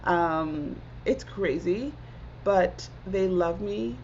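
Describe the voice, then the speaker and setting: A woman in her forties, originally from Zimbabwe, talks as an interview guest with a fairly low voice. She sounds earnest and reflective, leaning on "like" as she thinks. The audio is slightly dull and lo-fi. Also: extremely slow, a little mellow, slight background noise. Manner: earnest, reflective, many "like" fillers. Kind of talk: casual conversational interview.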